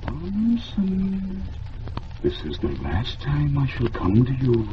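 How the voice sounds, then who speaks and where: A man voicing the sad, solemn ghost of a dead painter in an old radio drama, calling in slow, hushed tones. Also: mid-range voice, wildly swooping pitch, over music, pitched above the performer's own voice. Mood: solemn urging. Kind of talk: 1950s radio drama acting. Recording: dull, noisy old radio recording.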